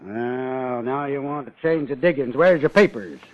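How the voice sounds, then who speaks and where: An actor playing a gruff, suspicious whaling captain in a 1950s radio drama, with salty, challenging talk. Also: male voice, medium pitch, unhurried, lightly acted. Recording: muffled 1950 radio broadcast.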